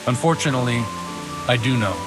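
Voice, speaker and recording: Man playing measured, fatherly medieval priest over music. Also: medium pitch, natural voice. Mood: solemn, firm. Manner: measured, gently paternal, old-fashioned speech. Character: genial, worldly medieval village priest, fond of drink. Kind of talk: video game cutscene dialogue. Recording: game dialogue with music underneath.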